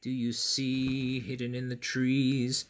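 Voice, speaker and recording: A man reading a picture book aloud to children, playful and knowing, with chatty asides and dramatic pauses. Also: medium pitch, monotone. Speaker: storyteller, school read-aloud host.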